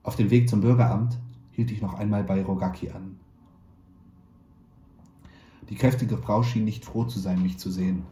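A man reading a short story aloud in a calm, measured way, lightly voicing the dialogue. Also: on the low side, reverberant, a little hiss or hum, rough recording. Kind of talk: literary reading.

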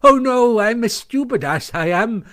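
A high-pitched, boastful storybook toad, played by a man. He is melodramatic and excitable, hitting his words with theatrical emphasis. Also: normal speed. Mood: boastful mock modesty. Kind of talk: storybook character voice.

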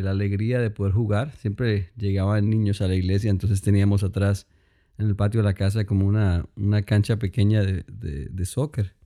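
Colombian man in his thirties with a Mexican-tinged accent, low mellow voice, loose rambling interview storyteller. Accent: Mexican-influenced Spanish. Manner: relaxed, rambling, frequent fillers and restarts. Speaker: guest, music producer.

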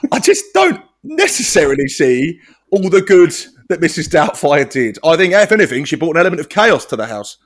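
A man's voice: a little high, very animated, brisk. A man in casual comedy chat, sweary and rambling, laughing often and restarting his sentences.

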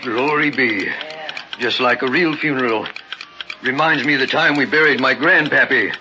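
A man's voice: medium pitch, normal speed, close to an everyday voice. An actor playing a simple, folksy and uneasy local man in a radio horror drama. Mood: awed, doubtful. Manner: folksy, plain-spoken. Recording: old muffled radio recording with music.

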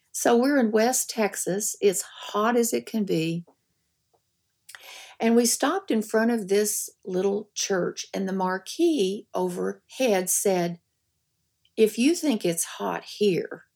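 An older woman with a gravelly, fry-tinged voice tells folksy stories as an interview guest. She talks in an animated, down-to-earth way at a medium pitch. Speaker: author and guest. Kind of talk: storytelling in conversation.

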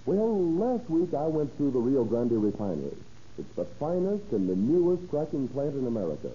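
An actor playing an enthusiastic, folksy service station owner, emphatic and persuasive, in a 1930s radio advertisement on a muffled old recording. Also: male voice, mid-range voice, sing-song, normal speed, dark tone, a little hiss or hum, close to an everyday voice. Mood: eager, persuasive.

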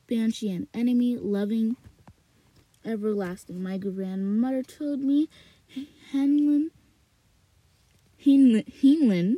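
A woman reading a novel aloud slowly on her podcast, then rambling in casual chat, repeating words with many fillers and restarts, recorded in a small closet. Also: on the high side, mellow, dry recording.

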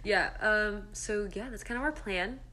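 A woman's voice: mid-range voice, measured pace, crowd noise. A woman in her twenties co-hosting a podcast in casual banter, with a giggly, jokey, self-mocking manner. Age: 20s. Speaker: podcast co-host. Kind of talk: casual banter between friends.

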